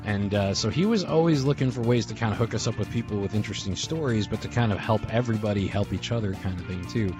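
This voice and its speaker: A man's voice: lowish voice, quick pace, hardly any pauses. A man speaking casually in a group remembrance, telling rambling stories as a podcast host. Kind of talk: casual reminiscing in a group memorial call. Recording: soft guitar music behind. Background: guitar music.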